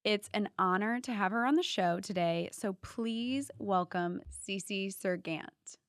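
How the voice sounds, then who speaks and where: A woman hosting an interview podcast, reading a prepared intro and then asking soft prompting questions. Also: mid-range voice, takes it slowly, smooth, no room sound, clean studio sound. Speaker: podcast host.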